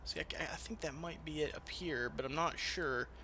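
A man's voice: on the high side, moderate pace, background noise. A man giving casual, rambling let's play commentary, with some swearing. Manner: rambling, profane. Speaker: gaming video host.

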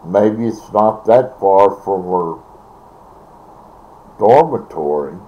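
Slow, deep-voiced older man. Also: animated. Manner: slow, halting, repeats words. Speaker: vlogger. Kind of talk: casual rambling chat. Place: small bedroom, indoors. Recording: dull, noisy webcam audio.

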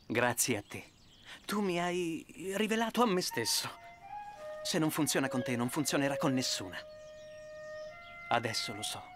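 A man voicing an earnest, tender young man in an animated film dub, with a solemn, emphatic delivery. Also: medium pitch, normal speed, long pauses, over music, close to an everyday voice. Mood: earnest, grateful.

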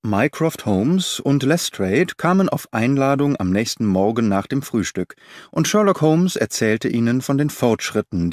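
Expressive male audiobook narrator. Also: mid-range voice, no room sound, studio quality. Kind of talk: scripted first-person narration.

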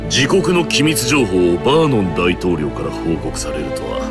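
Stern commanding warrior king from a fighting game, really animated delivery, music behind. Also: deep voice, moderate pace. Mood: formal, composed. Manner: authoritative, declamatory. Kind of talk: Japanese anime fighting game acting. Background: background music.

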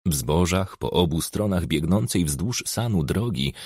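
A man narrates an audiobook crime story. His voice is low and husky, with pitch that moves freely through measured, expressive phrases. The sound is close and dry. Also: dry recording. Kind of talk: scripted narration of a crime novel.

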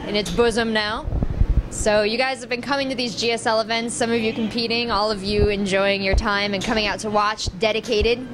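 A woman's voice: fairly high pitch, rough recording. A young adult woman hosting a casual roundtable interview in a small restaurant, speaking into a handheld mic. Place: small pizza restaurant at night.

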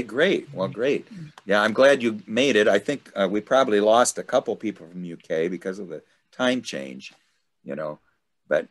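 A middle-aged man hosting an informal online study group, talking in a rambling, halting way over a video call. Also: fairly low pitch. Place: indoors at home, video call. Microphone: video call microphone.

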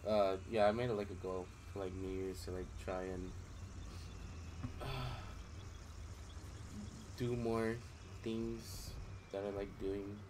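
A man's voice: fairly low pitch, steady tone, slow, pauses often, noisy background. A young man chatting casually while streaming a video game, rambling, losing his train of thought and swearing offhand. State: voice recovering from strain. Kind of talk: casual gameplay commentary. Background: game music.

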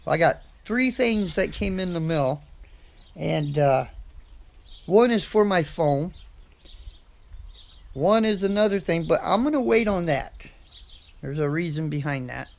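A middle-aged American man vlogging casually about his day, relaxed and chatty, filming himself in his vehicle and on a porch. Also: on the high side, a little slow, creaky, pauses often. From USA. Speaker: trucker vlogger. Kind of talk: casual vlog chat. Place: in a parked vehicle, on a home porch outdoors. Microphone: camera mic close up.

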